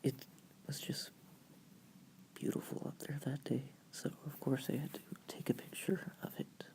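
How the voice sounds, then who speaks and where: Soft whispered ASMR narrator with creaky edges. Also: medium pitch, flat delivery, normal speed. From United States, family from Virginia. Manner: rambling, informal asides. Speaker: video creator and host.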